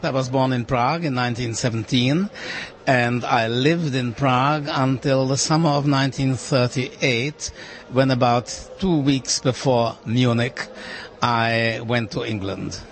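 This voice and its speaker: A man in his eighties whose first language is German, answering an interview in a café with thoughtful, hesitant pauses. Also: mid-range voice. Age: 80s. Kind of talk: recorded interview.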